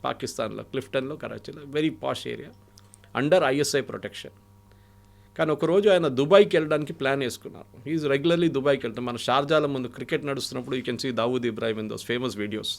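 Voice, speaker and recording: An Indian man speaks as a guest analyst, with a noticeably creaky voice at a middling pitch. He lays out political stories and anecdotes, moving back and forth between Telugu and English. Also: brisk. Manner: mixes English phrases into Telugu.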